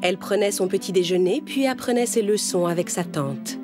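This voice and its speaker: A woman's voice: mid-range voice, fairly quick, music in the background. A woman storyteller reading a scripted children's tale as narration.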